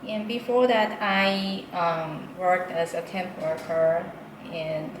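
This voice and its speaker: Softly hesitant woman, echoey room. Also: lowish voice, steady tone, takes it slowly, background noise. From Japan. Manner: hesitant, laughs often, many fillers. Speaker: interpreter guest. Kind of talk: casual interview.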